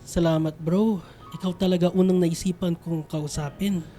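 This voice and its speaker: A man plays a lovestruck young Filipino man in a radio drama, earnest and anxious. His voice is high for a man and soft-edged, with faint music behind. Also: fairly even intonation, moderate pace, lightly acted. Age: young adult.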